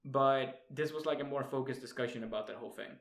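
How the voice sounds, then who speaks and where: Young male co-host, Swedish accent, casual podcast banter, giggly, profane, hesitant. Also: mid-range voice. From Sweden. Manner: laughs often, swears casually, halting with fillers. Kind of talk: casual chat.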